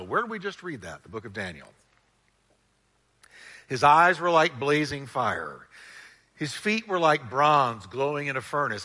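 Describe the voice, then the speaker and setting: A man preaching a sermon with a loud, piercingly bright voice that carries. His pitch sits a little high, and he stretches key words for drama. Long reverb from a church hall trails each phrase. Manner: emphatic preaching with dramatic pitch swings and slow stressed words; calls on the congregation to repeat phrases. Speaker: pastor.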